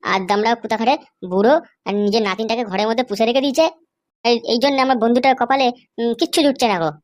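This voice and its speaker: A woman's voice: fairly high pitch, steady tone, quick pace, close to an everyday voice. A woman voicing a meddling, insolent young male sidekick, mocking and accusing. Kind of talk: amateur comedy cartoon dub.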